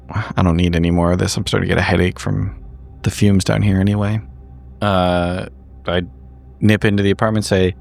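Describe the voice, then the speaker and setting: A man playing a tabletop roleplaying game, talking in character in a dry, understated, hesitant way. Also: low-pitched.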